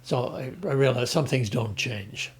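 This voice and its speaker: An older man with an Irish accent, talking about his life at an unhurried pace, often pausing to restart his sentences. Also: mid-range voice. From Ireland. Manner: hesitant, with many restarts and fillers. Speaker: interviewee. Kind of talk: reflective conversational storytelling.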